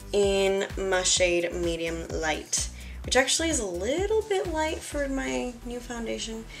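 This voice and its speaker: Tired young woman, slightly raspy. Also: mid-range voice. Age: young adult. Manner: chatty, self-deprecating, talks while applying makeup. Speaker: beauty vlogger. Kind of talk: casual makeup tutorial. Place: bedroom, indoors. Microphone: camera in front at close range.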